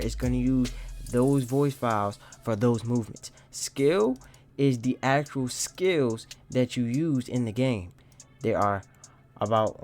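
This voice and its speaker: A man's voice: mid-range voice, a little mellow. Man giving a tutorial over music. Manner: instructional, often checks in with "okay". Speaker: gaming tutorial host. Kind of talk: step-by-step software tutorial narration.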